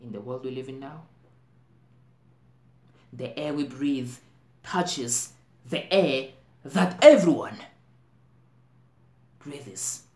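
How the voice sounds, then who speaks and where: A man playing an anxious, intense worrier in a dramatic stage monologue, in deliberate broken phrases with emphatic swells. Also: medium pitch, a little mellow, voice pushed up, close to an everyday voice. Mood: agitated, urgent. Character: anxious, intense man brooding on global plagues. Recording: roomy echo, faint background noise.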